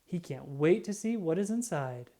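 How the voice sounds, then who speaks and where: A woman reading a children's storybook aloud in an expressive, unhurried way. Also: on the low side. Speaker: children's book reader.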